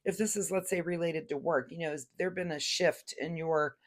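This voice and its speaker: A woman's voice: sits low, normal speed. A woman giving a casual, chatty tarot reading to camera while recovering from laryngitis. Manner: chatty, gentle, many fillers and restarts. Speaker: tarot reader.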